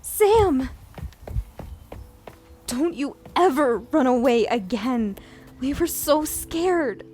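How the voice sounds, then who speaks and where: A woman's voice: sits high, expressive, very slow, pauses often, darkish tone, slight background noise, full character voice. A woman playing a loyal, protective figure caught up in a frightening adventure, earnest and emotional, in a sci-fi audio drama. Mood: relieved, moved.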